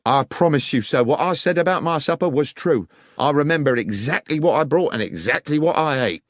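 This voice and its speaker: A man's voice: on the high side, lively intonation, brisk, dry recording, studio quality, voice pushed up, natural voice. A man voicing an earnest, weary railway worker in an audiobook, deferential and defensive under questioning. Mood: earnest protest.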